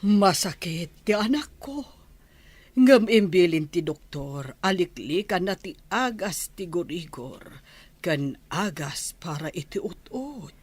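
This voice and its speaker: A woman's voice: on the low side, animated, slow pace, slightly rough, soft background music, full character voice. An actress with an Ilocano accent playing a caring, worried mother in a radio drama. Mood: worried, pleading.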